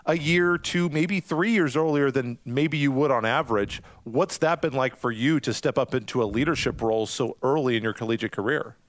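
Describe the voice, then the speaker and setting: A man's voice: mid-range voice, moderate pace, few pauses, dry recording. Rambling male interview host, dry sound. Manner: rambling, self-deprecating questions with restarts. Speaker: podcast host. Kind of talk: interviewing a guest.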